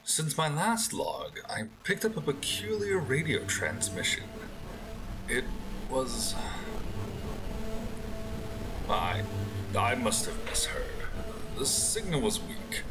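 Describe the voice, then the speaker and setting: A man's voice: mid-range voice, sing-song, slow, over loud music, rough recording. A man playing an aloof, self-satisfied survivalist who records formal, precise log entries in an audio drama. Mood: unsettled. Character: meticulous, aloof male bunker survivalist keeping a log.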